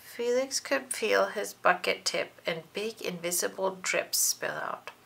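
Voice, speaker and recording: A woman reading a children's story aloud in an expressive, dramatic and deliberate narration style. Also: medium pitch, creaky, slightly rough. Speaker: storybook reader.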